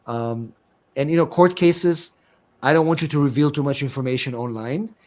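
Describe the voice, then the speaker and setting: A man's voice: fairly high pitch, moderate pace, darkish tone. A middle-aged man from Israel with an Israeli accent, an attorney hosting a live question-and-answer stream, talking casually with many fillers and restarts.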